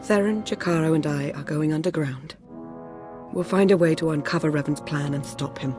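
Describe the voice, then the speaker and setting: A woman's voice: sits low, over music, lightly acted. Woman playing a composed, resolute agent. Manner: formal, measured, serious. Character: composed female Imperial fugitive agent, resolute. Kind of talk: video game cutscene acting.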